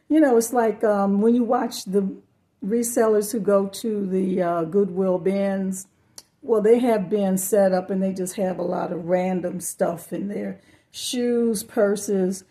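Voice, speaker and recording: A middle-aged woman with a hoarse voice, chatting casually through a thrift and yard sale haul with many fillers and restarts. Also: mid-range voice, warm tone. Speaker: reseller showing thrift hauls.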